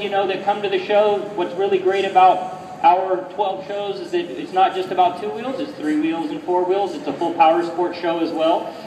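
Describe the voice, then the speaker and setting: A man talks quickly and with upbeat, promotional energy as he hosts a tour of a show floor. His voice sits a little high for a man and comes from some way off the mic. A big, echoey convention hall rings around him, with loud crowd noise behind. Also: recorded from a distance. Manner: upbeat, promotional, introduces the next speaker. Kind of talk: walking presentation at a trade show. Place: large indoor convention center show floor.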